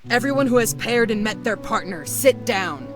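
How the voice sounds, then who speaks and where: A woman's voice: on the high side, expressive, moderate pace, lightly acted. A woman putting on a harsh, swooping male academy head. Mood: stern, impatient. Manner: commanding, loud, curt. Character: harsh, blunt, impatient male head of a magic school. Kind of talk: visual novel character acting. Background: music.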